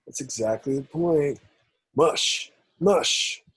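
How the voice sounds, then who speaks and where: A man playing a cocky, crude young college jock in an amateur table read, slightly husky. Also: on the high side, takes it slowly, fairly bright tone, dry recording, heavily acted. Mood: bossy, playful.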